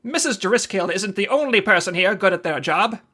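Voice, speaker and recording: An actor playing a clever, theatrical investigator who talks in a sly, probing way, in old-time radio detective style. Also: male voice, sits high, lively intonation, moderate pace, pushed and bright, full character voice. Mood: shrewd. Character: clever, theatrical male insurance investigator sleuth.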